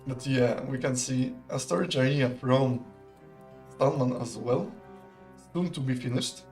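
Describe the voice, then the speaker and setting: A young man, commentating a live strategy game in a relaxed, chatty, analytical way and laughing often. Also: medium pitch, a little slow, faint music behind. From Poland. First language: not English. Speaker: esports caster.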